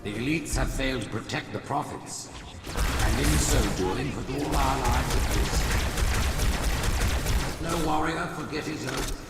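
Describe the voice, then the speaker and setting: An actor playing a zealous, scheming alien high priest in a video game, declaiming pompously. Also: male voice, a little slow, pauses often, lightly acted. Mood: accusing, solemn. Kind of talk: video game cutscene acting. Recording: echoey vast hall, distant, loud music.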